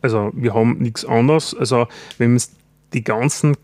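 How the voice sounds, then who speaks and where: A man with a Styrian Austrian accent chats easily on a podcast, often tagging his sentences with a quick "ja". His voice sits in a middle pitch and sounds dry and close to the mic. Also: steady tone, a little mellow, no echo. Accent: Styrian Austrian German. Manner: chatty, fills pauses with "ja". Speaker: podcast co-host. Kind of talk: casual chat.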